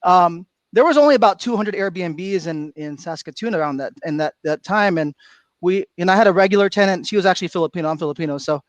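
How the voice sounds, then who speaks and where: A middle-aged man from Florida, a guest on a livestream, telling stories in an animated way and laughing often. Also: high-pitched, fast, no echo. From Florida, USA. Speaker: guest speaker. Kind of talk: casual livestream chat. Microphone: video call.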